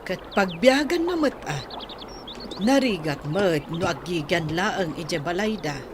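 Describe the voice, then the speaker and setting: A woman's voice: lowish voice, lively intonation, unhurried, noisy background. A woman playing an evasive, exasperated married mother in an audio drama.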